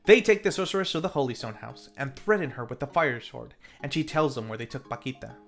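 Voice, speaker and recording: A man's voice: mid-range voice, lively intonation, quick pace, gravelly, a bit bright. A man hosting a film review, narrating a scripted recap in a playful, tongue-in-cheek way with theatrical asides. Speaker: film review show host. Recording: faint music under the voice. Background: faint background music.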